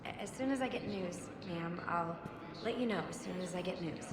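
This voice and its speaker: A woman's voice: on the low side, normal speed, a crowd in the background, natural voice. A woman playing a patient, uneasy young clerk in a game drama, hesitant and placating. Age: young adult. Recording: echoey hall, loud ambient noise.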